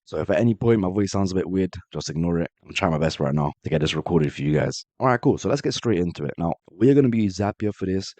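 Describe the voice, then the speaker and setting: Fast-talking low-voiced man with a cold. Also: animated, darkish tone, no echo. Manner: brisk, direct step-by-step walkthrough addressing viewers as guys. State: has a cold, voice a bit off. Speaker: automation tutorial host. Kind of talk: screen-share software tutorial. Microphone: voice-over on screen recording.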